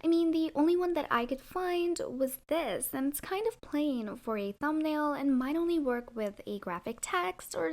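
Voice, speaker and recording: Hoarse high-voiced woman with sarcastic jabs. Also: darkish tone. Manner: sarcastic, opinionated, playful jabs. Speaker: YouTuber reviewing music video thumbnails. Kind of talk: scripted commentary voice-over.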